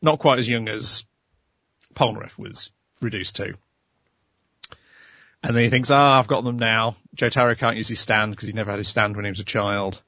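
A man's voice: lowish voice, fairly even intonation, normal speed, pauses often, pushed and bright. A man hosting a casual chat show, retelling an episode in a wry, giggly way while losing his voice. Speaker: podcast host recapping an episode.

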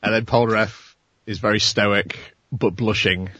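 A man's voice: on the low side, steady tone, unhurried, fairly bright tone, no room sound. Dry-voiced man recapping with wry giggles. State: losing his voice. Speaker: podcast host recapping an episode. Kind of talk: casual chat.

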